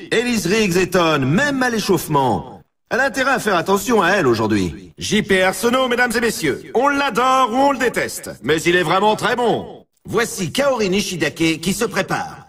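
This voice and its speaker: An actor playing an excitable male snowboard race announcer in a video game, dramatic and emphatic like a showman. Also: high voice, moderate pace, breathy edge, far from the mic, exaggerated voice. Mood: upbeat, hyping. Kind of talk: sports game commentary.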